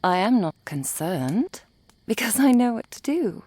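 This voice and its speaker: A woman acting a composed, refined, cold-hearted merchant in an ASMR roleplay, with formal, precise, measured diction. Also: medium pitch, sing-song, warm tone, slightly rough, no room sound, heavily acted. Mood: cool, matter-of-fact. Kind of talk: ASMR roleplay audio drama.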